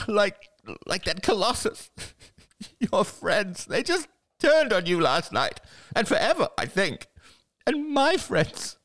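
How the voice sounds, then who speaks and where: A woman's voice: a little high, a bit bright, pitched above the performer's own voice, exaggerated voice. A narrator voicing a lonely older woman in her sixties, a former reporter, who talks in a self-pitying, tearful, wavering way.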